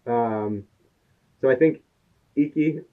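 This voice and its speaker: A man's voice: mid-range voice, takes it slowly. Chatty man on a tinny line. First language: English. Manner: chatty, tells anecdotes, quotes others in his stories. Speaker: podcast co-host. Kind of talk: casual podcast chat. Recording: tinny phone-like sound.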